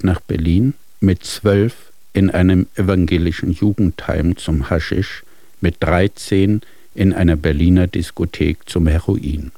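Deep-voiced man narrating a sober documentary. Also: warm tone, no room sound. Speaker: narrator and interviewer of a radio documentary.

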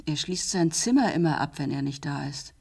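A woman's voice: low voice, expressive, moderate pace, gravelly, warm tone, slightly rough, full character voice. An actress playing a middle-aged, fearful, nagging mother and landlady in a radio play, alarmed and insistent, often repeating herself. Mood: suspicious. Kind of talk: German radio play acting.

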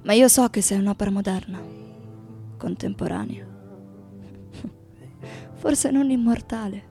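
An actress playing a weary, tender lover in an intimate literary radio drama, with a gravelly, creaky voice. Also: female voice, medium pitch, slow, warm tone. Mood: musing, tender. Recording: voice over faint-to-clear music bed.

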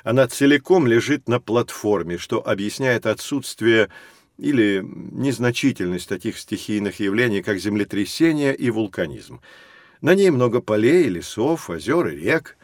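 An actor playing a friendly professor in an educational audio drama, explaining things expressively to children. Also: male voice, fairly low pitch, animated, moderate pace, no echo, studio quality, lightly acted. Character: friendly male professor guiding children through geography.

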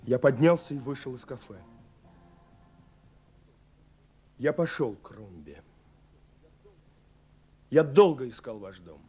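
An actor playing an earnest young romantic in a 1970s Soviet radio play, confiding haltingly with dramatic pauses. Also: male voice, medium pitch, expressive, dark tone, breathy edge. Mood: searching, restless. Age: young adult. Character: earnest young romantic man searching for a mysterious girl. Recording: 1970s radio recording with faint music. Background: faint music.

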